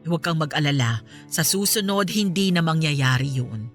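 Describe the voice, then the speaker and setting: A woman's voice: deep voice, lively intonation, normal speed, soft background music. A woman playing an apologetic, impulsive middle-aged Filipino mother and friend in a radio drama. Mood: sheepish.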